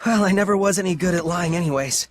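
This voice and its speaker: A man's voice: high-pitched, fast, slight background noise, lightly acted. An actor playing a humble, friendly young male hero, boyish and sincere, with polite but casual delivery in a fantasy game scene. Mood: apologetic, easygoing. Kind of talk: dubbed fantasy game cutscene acting. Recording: orchestral music behind the voice.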